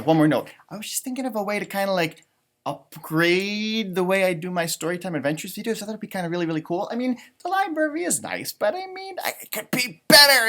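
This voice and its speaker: An animated man hosts a storytime for young children. His pitch swings widely as he talks, with playful, rambling asides in the manner of a teacher reading aloud.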